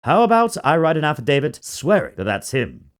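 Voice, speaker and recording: An actor voicing a self-important, morbidly eager hotel bellboy from a video game, with an obsequious, melodramatic manner. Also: male voice, a little high, huge pitch swings, a little fast. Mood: excited. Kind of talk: dramatized video game dialogue.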